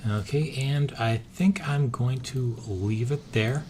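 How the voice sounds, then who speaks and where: A hoarse man casually thinking aloud, with many pauses and filler sounds, as he narrates his moves in a strategy game. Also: mid-range voice, darkish tone, a little hiss or hum. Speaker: let's-play gamer.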